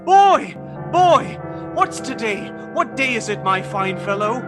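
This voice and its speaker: A man's voice: very high-pitched, sing-song, slow pace, heavily acted. A man playing a repentant old miser in a radio play, emotional and theatrical, often repeating his words. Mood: delighted, eager. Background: music.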